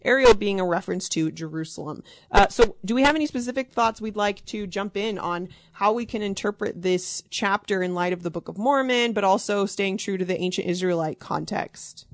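A woman leading a casual group study discussion, steering the talk in an organized way and speaking in quick bursts. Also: medium pitch, slight background noise. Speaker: discussion leader.

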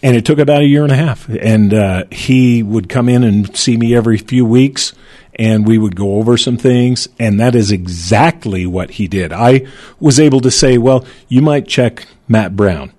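A man's voice: mid-range voice, normal speed, warm tone. A man hosting a panel discussion, telling an anecdote in a conversational way. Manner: conversational storytelling. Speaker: host.

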